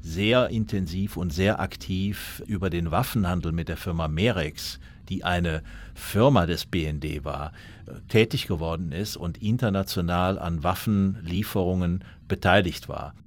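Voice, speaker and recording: A man with a deep voice speaks as an expert commentator. He explains matters in the style of a documentary interview. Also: normal speed.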